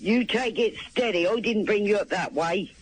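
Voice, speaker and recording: A woman's voice: medium pitch, moderate pace. A woman, bluntly scolding in a colloquial, taped phone conversation. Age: 70s. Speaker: mother of the host. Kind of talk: casual private phone conversation. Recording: noisy taped phone call.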